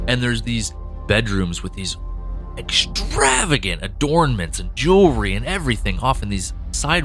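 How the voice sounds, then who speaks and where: A man co-hosting a behind-the-scenes podcast, talking through the lore of his audio drama with animated enthusiasm and plenty of fillers. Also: on the low side. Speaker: podcast co-host, show creator. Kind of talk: casual behind-the-scenes chat.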